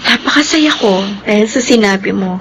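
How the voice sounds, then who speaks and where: A woman's voice: mid-range voice, lively intonation, moderate pace, a bit bright, a little hiss or hum. An actress playing a devoted, tender woman in love in a Filipino radio drama, speaking with heartfelt feeling.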